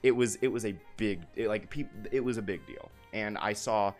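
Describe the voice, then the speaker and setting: A man's voice: on the low side, moderate pace. A young man giving casual, opinionated commentary to viewers in an enthusiastic, emphatic way, often repeating his phrases. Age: young adult. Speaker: video essay host. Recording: music bed behind the voice.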